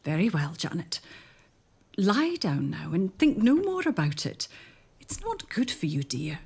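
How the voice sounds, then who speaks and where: An actress playing a genteel sister-in-law in a period audio drama, who talks down gently and coaxingly. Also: female voice, lowish voice, big pitch swings, a little slow, vocal fry, breathy edge, exaggerated voice.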